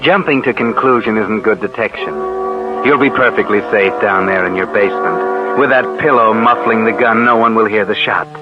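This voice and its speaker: A man's voice: fairly low pitch, normal speed, close to an everyday voice. An actor playing a sinister suspense narrator on 1940s radio, needling and insinuating like a man's guilty conscience. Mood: insinuating, taunting. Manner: insinuating, taunting second-person address. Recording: dull 1940s radio, loud music behind.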